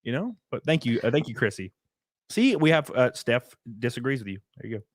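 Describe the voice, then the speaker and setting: A man's voice: mid-range voice, brisk, no echo. Southern guy hosting a stream, voice jumps all over, dry room. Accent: Southern American. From southern United States. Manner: animated, laughing, reads viewer chats aloud. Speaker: podcast host. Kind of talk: casual livestream chat.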